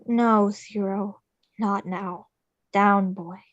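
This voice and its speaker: A woman's voice: mid-range voice, fairly even intonation, drawn-out words, dry recording, lo-fi sound. A woman playing Jack, a theatrical skeleton man who fancies himself Santa, declaiming melodramatically at a script table read. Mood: dejected, mournful.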